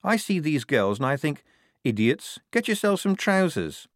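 Earnest young woman soldier posing as a lad, male narrator performing, lifted pitch, storybook drama. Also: sing-song, normal speed, no room sound, studio quality. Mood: wondering, exasperated.

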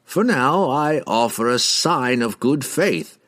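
A man voicing a raspy, smug and ambitious wizard in a storybook, with a grandiose, courtly and drawn-out delivery. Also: medium pitch, lively intonation, fairly bright tone, no echo, studio quality, voice pushed up. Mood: amused, confident.

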